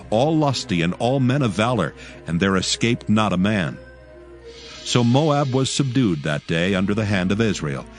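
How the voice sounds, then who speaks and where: Low-voiced solemn man narrating scripture. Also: dry recording, studio quality. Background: music.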